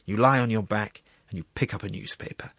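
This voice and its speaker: A man's voice: on the low side, a little fast, a bit bright, husky, no room sound. A man voicing a wheedling, gluttonous court secretary in a storybook reading, savouring his words in a confiding, coaxing way. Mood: dreamy, coaxing.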